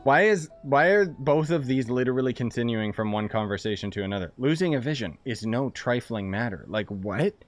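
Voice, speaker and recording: A man chatting casually over a video game, tossing off sarcastic jokes and chuckling asides. His mid-pitched voice sits over faint game music. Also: brisk, hardly any pauses. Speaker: gaming streamer. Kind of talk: casual let's-play commentary. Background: game audio.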